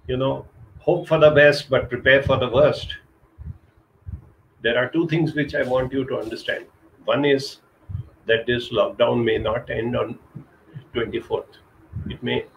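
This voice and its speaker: A middle-aged man from India giving an earnest motivational talk over a webcam from a small curtained room, slowing down to stress key points. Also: medium pitch, fairly even intonation, a bit bright, rough recording. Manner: earnest, urging, slows down for emphasis. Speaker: counselor giving a live talk. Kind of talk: motivational live-stream talk. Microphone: webcam video call.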